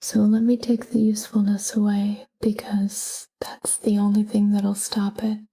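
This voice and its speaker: A woman narrating a scripted sleep meditation in a calm, gentle, whispery voice with measured delivery. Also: medium pitch, rough recording. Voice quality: breathy, whispery. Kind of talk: scripted guided sleep meditation, soft spoken.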